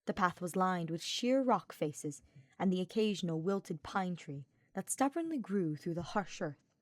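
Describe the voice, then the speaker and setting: A woman's voice: fairly low pitch, animated, a little slow, darkish tone, no echo. Woman narrating fiction, very dry sound. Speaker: audiobook narrator.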